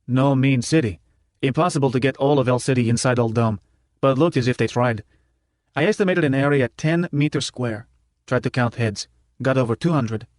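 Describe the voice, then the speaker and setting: A man's voice: medium pitch, a little mellow, no room sound. Male narrator for first-person fiction, clipped telegraphic delivery, dry studio sound. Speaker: audiobook narrator.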